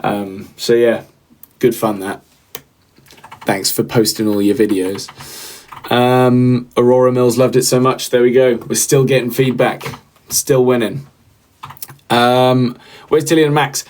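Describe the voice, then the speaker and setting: A man's voice: mid-range voice, little pitch movement, unhurried, pauses often, darkish tone. A young man hosts a casual livestream. He rambles and trails off, filling gaps with ums and repeated phrases as he answers viewers. He speaks close to the mic in a medium voice. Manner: rambling, repeats himself, many fillers. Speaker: livestream host.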